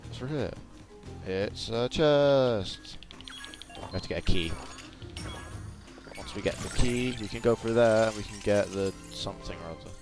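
A man's voice: fairly low pitch, moderate pace, pauses often, darkish tone. A man giving casual, playful let's-play commentary, chatty and full of exclaimed sound effects. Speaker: gaming video host. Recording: game music behind, doubled game audio. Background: video game music and sounds.

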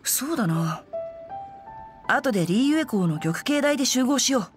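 A woman's voice: on the high side, animated, normal speed, faint music behind, close to an everyday voice. A woman voicing a refined, chivalrous teenage boy swordsman in a Japanese game dub, polite and composed. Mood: composed, earnest. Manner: polite, composed, literary phrasing. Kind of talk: Japanese anime-style game dub acting.

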